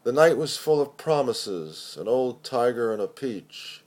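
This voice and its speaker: Guy reciting poetry, really slow n deliberate, medium pitch. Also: expressive, darkish tone. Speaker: poetry reader.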